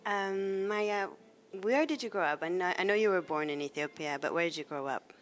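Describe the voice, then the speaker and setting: A woman with a notably low voice conducts a magazine interview in someone's apartment. She asks her questions politely and gently probes for more. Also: fairly quick. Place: guest's apartment.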